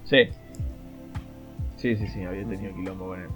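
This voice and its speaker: A man co-hosting a casual podcast chat, joking around and often pausing on filler words. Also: low voice, steady tone, over music. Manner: joking, frequent "este" fillers. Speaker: podcast co-host.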